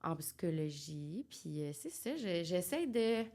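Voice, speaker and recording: A woman's voice: fairly low pitch, very animated, measured pace, darkish tone. A young woman from Quebec with a Québécois accent, co-hosting a casual podcast chat, chatty and mixing English into her French. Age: young adult. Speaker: podcast co-host.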